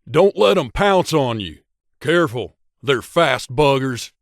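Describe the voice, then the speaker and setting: An actor playing a wry, gruff mercenary companion in a video game, speaking in a conversational, grumbling way. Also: male voice, mid-range voice, big pitch swings, unhurried, dry recording, studio quality. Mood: combative alert. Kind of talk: game character voice lines.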